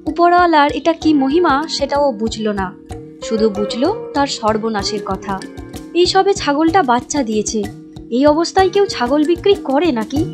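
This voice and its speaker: Woman narrator, high voice, scripted cartoon storytelling, clear music bed. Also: animated, moderate pace.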